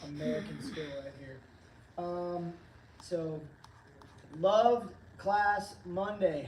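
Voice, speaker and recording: Hoarse man hosting a roomy livestream. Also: sits high, slight background noise. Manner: upbeat, rambling, reads chat aloud. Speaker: livestream host, training company staff. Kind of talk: casual livestream chat. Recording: roomy, distant from the mic.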